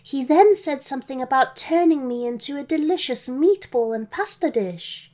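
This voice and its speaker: Woman as a high-pitched, frightened little girl. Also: lively intonation, moderate pace, darkish tone. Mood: pleading. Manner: plaintive, pleading. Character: frightened, tearful young girl held prisoner. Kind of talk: children's storybook character voice.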